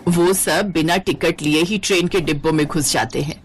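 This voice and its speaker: A woman's voice: low voice, moderate pace, slight background noise, pitched below the performer's own voice, lightly acted. A woman voicing a stern, cautious beggar mother in a cartoon story.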